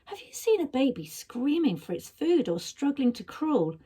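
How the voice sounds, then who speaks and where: A woman's voice: sits high, big pitch swings, moderate pace, warm tone, pitched above the performer's own voice. A woman voicing a young girl in a storybook, earnest and tender, coaxing in a whispery way. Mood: urgent, coaxing.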